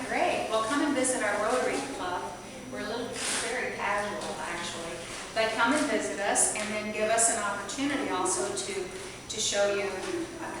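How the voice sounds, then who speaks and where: A middle-aged woman giving a rambling, chatty guest talk, projecting her voice loudly to a club meeting. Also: mid-range voice, background noise. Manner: loud, projecting voice; chatty, rambling, self-deprecating. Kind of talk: informal speech to a club meeting. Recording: echoey hall from far off, audience.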